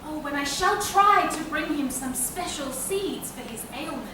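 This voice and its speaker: A woman's voice: sits high, lively intonation, unhurried, noisy background. A woman playing a sweet, poor young woman who speaks in a wistful, old-fashioned way. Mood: gentle. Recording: echoey room from far off.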